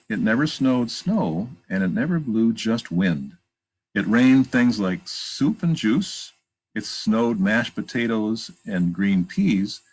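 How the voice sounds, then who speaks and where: Male, low-pitched, husky, lively intonation, children's storytime reader, chatty asides. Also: low-quality recording. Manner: pauses to point out pictures and ask listeners questions; animated, emphatic read-aloud delivery. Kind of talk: reading a picture book aloud with chatty asides.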